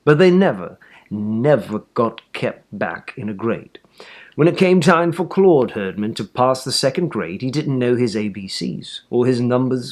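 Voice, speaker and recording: A man reading a children's story aloud with animated, theatrical emphasis. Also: medium pitch. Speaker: storybook reader.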